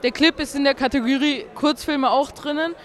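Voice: high-pitched, steady tone, normal speed, a bit bright. A host interviewing young filmmakers outdoors at a film festival, in slangy, enthusiastic casual talk. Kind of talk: casual vox-pop interview.

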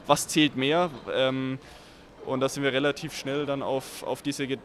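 A man's voice: medium pitch, little pitch movement, unhurried, a bit bright, slight background noise. A nineteen-year-old man, a young filmmaker, explaining his ideas hesitantly with many restarts. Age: teens.

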